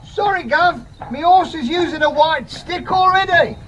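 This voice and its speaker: Cheeky london cabby, really high voice, muffled noisy old radio play. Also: male voice, measured pace, a bit bright. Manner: wry, cheeky. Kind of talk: 1970s radio drama acting. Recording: muffled, noisy outdoor carriage scene.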